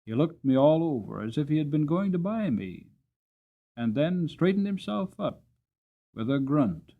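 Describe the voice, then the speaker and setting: A man narrating a story as a dramatic audiobook reader, expressive and deliberate in his phrasing. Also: fairly high pitch, gravelly, phone-quality sound.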